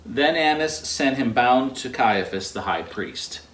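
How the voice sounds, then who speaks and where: A man's voice: medium pitch, lively intonation, unhurried, fairly bright tone, slight background noise. A man reading a Bible passage aloud as a lay reader. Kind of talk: scripture reading. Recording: echoey, distant from microphone.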